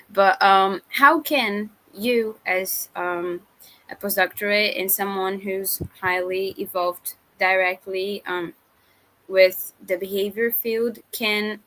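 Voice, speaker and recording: Woman interviewer, bright tone, halting, searches for words. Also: mid-range voice, steady tone, rough recording. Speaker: student interviewer. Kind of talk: asking interview questions.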